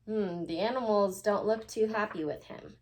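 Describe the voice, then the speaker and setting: A woman teacher talking to young children and reading a storybook aloud in an expressive, emphatic way. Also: medium pitch, moderate pace, low-quality recording.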